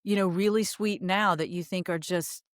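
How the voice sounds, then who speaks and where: A woman's voice: fairly low pitch, fairly even intonation, moderate pace, no room sound, studio quality. A woman hosting a casual podcast interview, appreciative and thinking aloud in rambling sentences. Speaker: podcast host.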